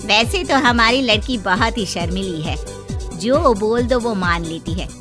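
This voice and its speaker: A woman's voice: mid-range voice, a little slow, over music, natural voice. A woman playing a blunt, boastful middle-aged mother of a bride in a cartoon story, chatty and bragging. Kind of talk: Hindi cartoon story acting.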